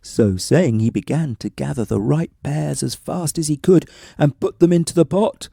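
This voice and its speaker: A man's voice: fairly high pitch, normal speed, vocal fry, warm tone, slightly rough, dry recording, studio quality. A man narrating a scripted bedtime story as a storyteller, reading expressively and with some drama.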